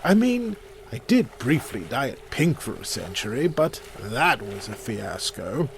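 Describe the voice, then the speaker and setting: A man's voice: mid-range voice, big pitch swings, measured pace, gravelly, a little hiss or hum. A man playing a fussy, put-upon god of the underworld in an audio drama, with a dry, sardonic, exasperated manner. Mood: exasperated, droll.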